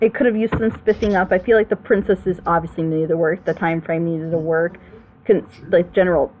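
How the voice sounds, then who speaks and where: A woman's voice: lowish voice, fairly even intonation, fairly quick. A woman hosting a group reaction show, chatting casually as she reviews an audio drama. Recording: noisy phone-like voice call.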